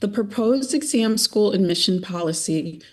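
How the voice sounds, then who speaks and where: A woman speaking for an advocacy group, giving formal, deliberate testimony at a school committee meeting. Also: mid-range voice, expressive, a little mellow.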